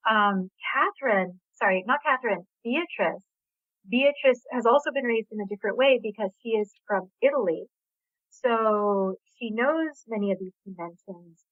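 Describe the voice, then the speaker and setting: A woman author being interviewed, explaining her ideas thoughtfully, pausing with "um" and correcting herself as she goes. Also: fairly high pitch, animated, dry recording. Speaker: author guest. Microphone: phone. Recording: tinny phone line, dry.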